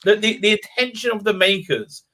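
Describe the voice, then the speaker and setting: A man's voice: high voice, moderate pace, sharp tone. A man on a podcast panel arguing his point casually and insistently. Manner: argumentative, insistent.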